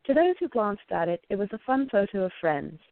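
A woman's voice: medium pitch, big pitch swings, brisk, no room sound. A woman reading scripted narration for a radio story. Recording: tinny, phone-like narrow sound.

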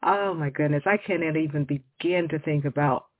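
A woman's voice: deep voice, normal speed, no room sound. A woman from Texas hosting a conversational radio interview in an effusive, emphatic way. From Houston area, Texas, USA. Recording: muffled, narrow-band radio audio.

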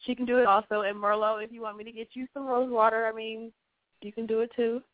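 A woman's voice: on the high side, flat delivery, quick pace, dry recording, narrow, tinny audio. A woman guest on a call-in chat show, sounding congested from a cold and talking in a dreamy, playful, giggly way.

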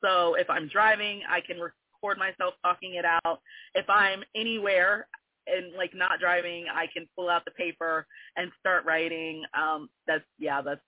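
A woman's voice: on the low side, steady tone, moderate pace, no room sound. A woman in her forties with a Southern accent touched by New Orleans, a guest poet chatting openly and laughing often in an interview. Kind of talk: casual interview conversation. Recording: tinny phone-line audio.

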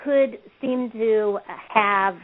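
A woman guest researcher chatting in a relaxed, rambling way, stopping now and then to recall names. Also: a little high, drawn-out words. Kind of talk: casual interview talk. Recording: tinny phone line.

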